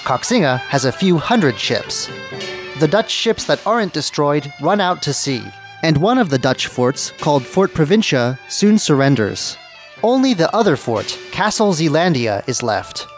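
A man's voice: a little high, very animated, measured pace. A man hosting a radio history programme, reading scripted documentary narration. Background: background music.

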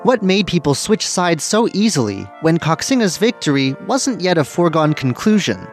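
Male radio narrator, scripted history storytelling, highish pitch, very lively intonation, faint music underneath. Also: normal speed.

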